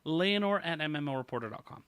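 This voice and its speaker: Man, podcast host, upbeat, bright voice, fairly high, dry close mic. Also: expressive, fast talker, clear, resonant tone, no echo. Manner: upbeat, laughs often, leads the talk. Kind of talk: casual gaming news chat.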